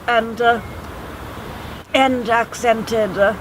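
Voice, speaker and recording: A man from Trinidad talks poetry in a high, evenly pitched voice, weighing each thought with measured care. He is recorded outdoors by a city waterfront. Traffic hums clearly behind him. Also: fairly even intonation. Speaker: poet. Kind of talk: reflective conversation.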